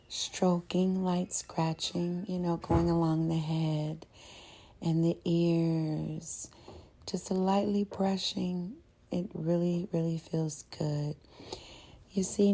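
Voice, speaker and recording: A woman's voice: fairly low pitch, mellow. A woman giving a casual, chatty and welcoming ASMR voiceover as the host of the channel. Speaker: host and narrator of an ASMR scratch-therapy channel.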